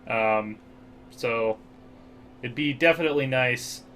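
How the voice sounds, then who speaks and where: A man's voice: mid-range voice, slow, a bit bright. A man with an American accent hosting a strategy game playthrough, talking it through casually with many fillers. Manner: thinks aloud with fillers. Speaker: gaming channel host.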